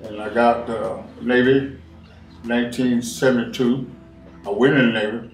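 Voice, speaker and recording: A man's voice: medium pitch, fairly even intonation, normal speed, creaky, distant mic. A sixty-nine-year-old man sits on a living-room couch answering questions, speaking plainly and coming back to the same point again and again. His voice sits off the mic and rings in the room, with faint music under it. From Washington, D.C. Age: 60s. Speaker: interviewee. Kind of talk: documentary interview. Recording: roomy sound from off-mic.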